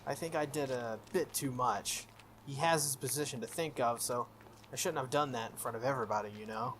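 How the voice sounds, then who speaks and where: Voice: mid-range voice, a little fast, slight background noise, close to an everyday voice. A game player voicing a confident, teasing schoolgirl from a visual novel in a breezy, self-assured way. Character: confident, teasing schoolgirl honor student, spirited.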